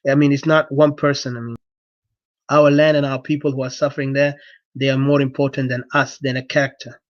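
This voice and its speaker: A man's voice: medium pitch, little pitch movement, brisk, dry recording, lo-fi sound. A man from South Sudan with a South Sudanese accent, a non-native English speaker giving an earnest, exhorting political address off the cuff, often repeating himself. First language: not English. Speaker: activist speaker addressing supporters. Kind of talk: impassioned political address, unscripted.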